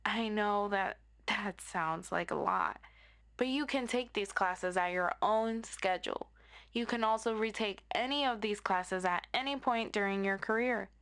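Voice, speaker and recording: A woman's voice: mid-range voice, a little slow, a bit bright, clean tone. A woman playing a friendly superhero best friend in a scripted audio roleplay, giving an orderly, encouraging orientation lecture. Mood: instructive.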